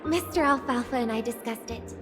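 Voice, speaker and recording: Woman acting gracious young noblewoman, high voice, formal, courteous, game dialogue, music behind. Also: fairly quick, natural voice. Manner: formal, polite. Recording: background music under the voice.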